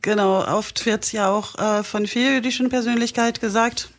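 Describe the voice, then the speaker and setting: A woman hosts an interview in a studio. Her voice sits fairly high, and she asks her guest questions and affirms her answers often. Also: fairly even intonation, normal speed. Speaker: interview host. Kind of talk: conversational interview.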